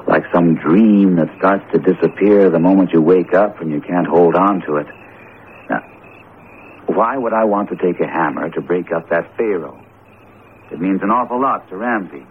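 A man playing a very low-voiced, befuddled man. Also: close to an everyday voice. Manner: vague, tired, apologetic. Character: befuddled, weary man dismissing a friend's worries. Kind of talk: old radio drama acting. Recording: tinny vintage radio broadcast, faint hiss.

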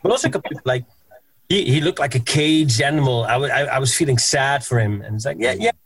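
A man in a band, talking casually as a podcast guest. He stops and restarts his sentences a lot and leans on fillers. His voice sits at an ordinary middle pitch. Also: animated, a bit bright, breathy edge, dry recording. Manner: halting, lots of restarts and fillers.

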